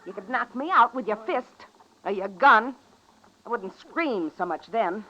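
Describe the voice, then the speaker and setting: A woman's voice: a little high, lively intonation, a little slow, sharp tone, heavily acted. A woman playing a coy, taunting, defiant femme fatale of the Old West with an American accent, in 1950s radio acting. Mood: brazen, provoking. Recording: muffled, noisy old radio recording.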